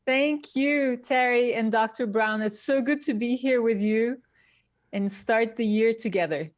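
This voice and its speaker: A woman from Turkey, speaking as an interview guest in unhurried, deliberate phrases. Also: on the high side, no echo, studio quality. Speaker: guest, industrial designer. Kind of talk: conversational interview answers.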